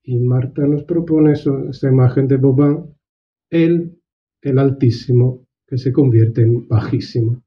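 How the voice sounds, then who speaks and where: Guy presenting a book, slow careful earnest talk, mid-pitched voice, kinda muffled recording. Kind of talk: reflective spoken book presentation. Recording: dull lo-fi recording.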